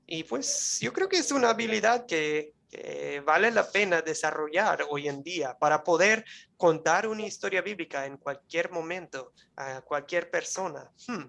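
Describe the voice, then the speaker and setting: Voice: mid-range voice, animated, normal speed, creaky, fairly bright tone. Conversational teacher speaking Spanish as a second language. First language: not Spanish. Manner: conversational, often checks in with the listeners; searches for words and restarts phrases. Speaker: Bible course teacher. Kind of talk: live online class lecture, closing with a prayer.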